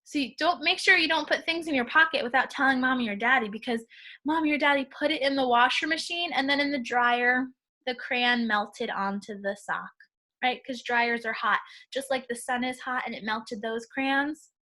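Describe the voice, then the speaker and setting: Bright, high-voiced woman teaching small children. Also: moderate pace. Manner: explains simply and instructively to small children. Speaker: teacher reading a picture book aloud to young children. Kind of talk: children's read-aloud with simple asides.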